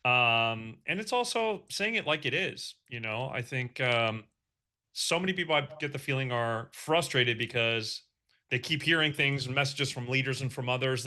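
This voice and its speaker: A man's voice: medium pitch, bright, forceful tone. A man from Belgium with an American accent, answering interview questions in a thoughtful way, with a lot of um and you know. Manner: thoughtful, with many fillers and restarts. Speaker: guest, marketing executive.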